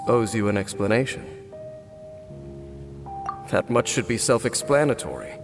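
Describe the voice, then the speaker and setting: An actor playing a solemn, guarded former royal guard captain in a video game, speaking formally and with measured, brooding deliberation. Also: male voice, medium pitch, close to an everyday voice. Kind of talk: video game character acting.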